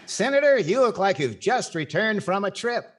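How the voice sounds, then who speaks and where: A man's voice: high voice, big pitch swings, moderate pace, noisy background. Guy doing an old-time american radio comedy host, wry, bit pompous, very up n down delivery. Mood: wry, playful. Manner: wry, wordy, playfully pompous. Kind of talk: 1940s radio comedy acting.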